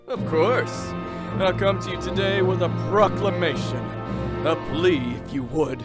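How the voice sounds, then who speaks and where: A man's voice: sits high, a little slow, loud music behind the voice. A man playing a smug, insincere noble suitor in an audio drama, pompous and flowery in his speech. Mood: smooth flattery. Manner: pompous, flowery, over-polite. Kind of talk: audio drama character acting.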